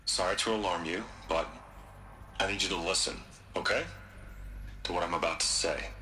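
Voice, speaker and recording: Deep, guilt-wracked tycoon. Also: male voice, steady tone, bright, forceful tone. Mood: halting. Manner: halting, stammering, then resolute. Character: guilt-ridden tech tycoon man, remorseful and fanatical. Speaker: recorded message from the past. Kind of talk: video game dialogue.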